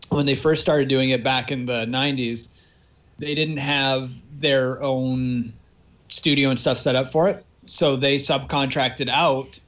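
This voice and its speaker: A man from Canada co-hosts a casual podcast. He is a voice actor and talks at an ordinary mid pitch, explaining things at length and laughing easily. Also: fairly even intonation, unhurried, fairly bright tone, dull audio. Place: Vancouver.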